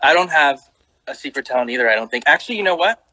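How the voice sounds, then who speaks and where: A man's voice: medium pitch, racing, heavy background noise. A man interviewing a guest in casual chat, hesitant with many fillers. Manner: lively, hesitant with many likes and ums.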